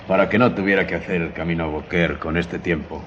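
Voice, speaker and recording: An actor playing an uneasy, henpecked innkeeper in a radio drama, gruff and reproachful. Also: male voice, low-pitched, fairly even intonation, fast, close to an everyday voice. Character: uneasy, conflicted male innkeeper, henpecked husband. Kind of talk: Spanish-language radio drama acting. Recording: dull lo-fi audio, faint noise.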